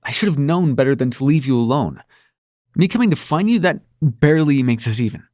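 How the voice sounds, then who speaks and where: A man's voice: medium pitch, lively intonation, a little mellow, no room sound, clean studio sound, natural voice. A man playing a brash, flustered prince of nightmares in love, stammering and swearing through an intimate audio roleplay. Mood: exasperated, self-blaming. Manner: halting, stammering, sweary and sardonic. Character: male supernatural prince of nightmares, brash, flustered, smitten lover.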